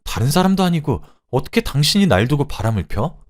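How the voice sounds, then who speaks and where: A man's voice: lowish voice, expressive, brisk, close to an everyday voice. Man voicing a furious betrayed middle-aged wife. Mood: bitter anger. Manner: sharp, accusing confrontation.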